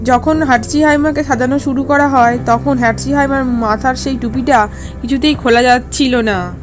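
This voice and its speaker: A woman's voice: sits high, fairly even intonation, normal speed, music in the background. A woman narrator reading a scripted fairy tale for a cartoon.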